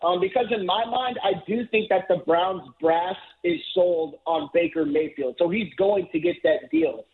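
A man's voice: high voice, big pitch swings, normal speed, vocal fry, few pauses. A man calling in as a football writer, talking analytically and fluently, now and then repeating a word as he starts a thought. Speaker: NFL writer guest. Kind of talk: phone-in radio interview. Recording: tinny phone line.

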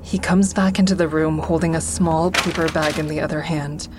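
An actress playing a traumatized, guarded woman who narrates and speaks in an audio drama with measured, introspective delivery. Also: low-pitched, steady tone, noisy background, natural voice. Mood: tense, fearful. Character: Haven, traumatized woman survivor narrating, fearful, guarded, hardening. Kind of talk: first-person narration and dialogue in audio drama.

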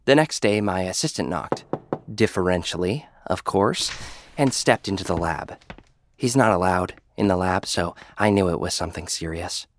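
A man's voice: deep voice, normal speed, slight background noise. A man narrating a first-person audio drama in a dry, sardonic, arrogant manner. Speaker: narrator and lead character.

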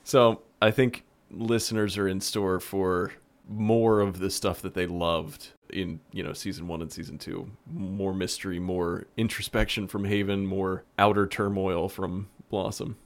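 Low-voiced man telling casual anecdotes. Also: little pitch movement, a little slow. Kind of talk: casual chat, anecdotal recap.

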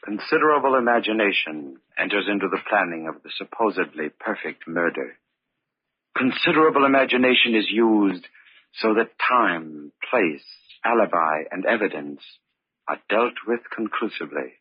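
An actor playing a grave, ominous narrator of a murder thriller, with measured old-time radio delivery. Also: male voice, on the low side, lively intonation, breathy edge, no room sound. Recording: muffled old radio recording.

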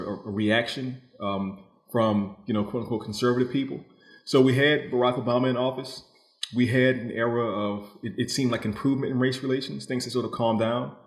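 A man from New Orleans speaking as a guest novelist, explaining his thinking in a measured, reflective way, with a roomy echo on his voice. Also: medium pitch. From New Orleans, United States. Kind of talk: reflective interview conversation. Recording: roomy, echoing sound.

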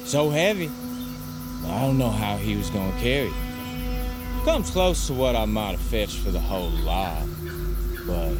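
Cruel, slow-drawling Southern slave owner. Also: male voice, lowish voice. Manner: menacing, drawling, mocking. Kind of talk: audio drama acting.

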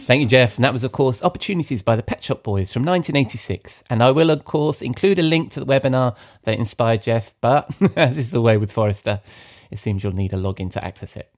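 Middle-aged man, podcast host, chatty delivery, medium pitch. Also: lively intonation, fast talker, few pauses, a little mellow.

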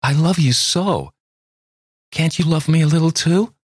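A man's voice: on the high side, measured pace, husky, pitched above the performer's own voice. A man putting on an ardent young woman pleading. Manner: imploring, breathless declarations. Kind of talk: audiobook character voice.

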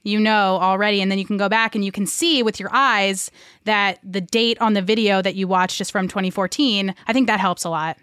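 A woman's voice: medium pitch, fairly even intonation, brisk, a bit bright, clean tone, no echo. Woman co-host chatting casually on a podcast, mid voice, really dry audio. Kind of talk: casual conversation.

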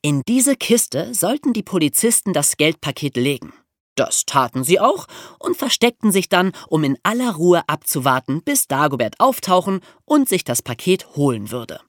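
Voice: mid-range voice, sing-song, moderate pace, no room sound, clean studio sound. A podcast host telling true crime stories for young listeners in an amused, colloquial way.

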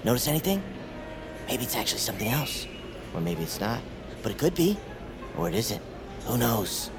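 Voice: mid-range voice, big pitch swings, moderate pace, a crowd in the background. An actor playing a manic, paranoid, chatty psychiatric patient in an audio drama, rambling theatrically and slipping into whispers like a playful tour guide. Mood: cryptic, teasing.

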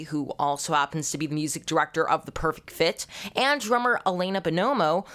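A man's voice: sits high, bright, forceful tone. A young American man in his teens with an earnest, enthusiastic manner, hosting a show with a scripted introduction. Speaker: show host.

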